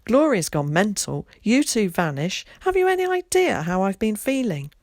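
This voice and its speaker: A woman reading an audiobook, voicing a frantic middle-aged mother, scolding in a high, breathless rush. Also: very animated, normal speed, no room sound.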